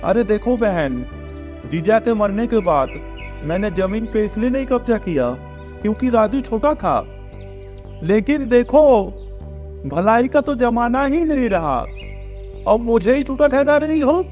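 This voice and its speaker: Man playing a high-voiced greedy scheming village uncle. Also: animated, measured pace, a little mellow. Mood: aggrieved. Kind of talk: cartoon moral story dialogue. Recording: dull lo-fi audio with music behind.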